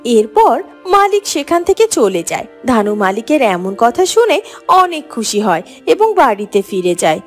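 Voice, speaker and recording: A woman's voice: a little high, very animated, measured pace, faint music behind. A woman narrating a children's fairy tale from a script.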